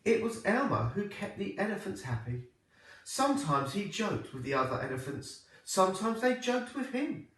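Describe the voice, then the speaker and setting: A man reading a children's storybook aloud like a primary teacher, with expressive, deliberate phrasing. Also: high-pitched. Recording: echoey room, distant microphone.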